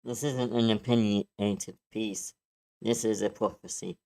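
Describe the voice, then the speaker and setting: A man playing a wounded, vengeful villain in a screenplay table read, with slow, menacing, dramatic phrasing. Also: fairly low pitch, steady tone, creaky, darkish tone. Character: vengeful, wounded male villain running for mayor.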